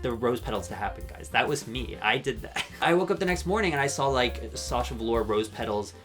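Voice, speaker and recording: A young Irish man in his twenties delivers a rambling, joking vlog commentary, often poking fun at himself. He talks very fast, and a faint bed of music runs underneath. His voice sits at a middling pitch for a man. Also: animated, a bit bright. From Ireland. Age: 20s. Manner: chatty, rambling, jokey, self-mocking. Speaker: YouTube commentator.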